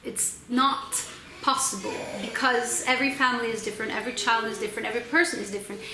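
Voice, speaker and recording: A woman's voice: on the high side, fairly even intonation, quick pace. A young woman with an Italian accent, chatting casually and hesitantly about books she read, with many ums and restarts. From Italy. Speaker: book channel host. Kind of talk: casual book wrap-up vlog. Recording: echoey room with faint noise.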